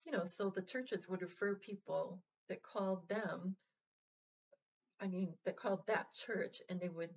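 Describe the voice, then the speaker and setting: A woman of middle pitch talks straight to her viewers, gentle and reassuring. She pauses often and restarts her phrases. The recording sounds dull and lo-fi. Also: slightly rough. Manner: halting, full of fillers and restarts, gentle and reassuring. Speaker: vlog host. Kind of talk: casual heartfelt address to viewers.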